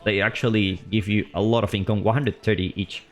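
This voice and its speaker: A man streaming live commentary on a strategy game match. He is chatty and loose, and his voice leaps up on big plays before settling back to calm explaining. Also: brisk. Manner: excitable, voice jumps high on big plays, then calm explaining; loose and chatty, with fillers and restarts.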